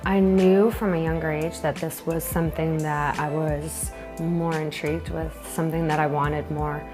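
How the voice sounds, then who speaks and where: A woman's voice: low-pitched, little pitch movement, moderate pace, over music. A woman giving an unscripted personal testimonial, reflecting on her own views.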